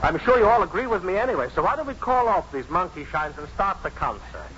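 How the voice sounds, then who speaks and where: Feisty, very animated professor. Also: male voice, high voice, fast. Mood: cheekily unrepentant. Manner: blustery, colorful, animated. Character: feisty irreverent male professor, blustery loyal champion of a colleague. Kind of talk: 1950s radio drama acting. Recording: dull noisy 1950s radio recording.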